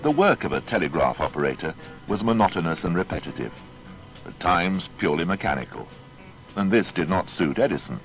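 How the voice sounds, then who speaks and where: A man with a very low voice narrates a biography in formal, measured prose. His delivery is lively in pitch, shaped like dramatic storytelling, with music faintly underneath.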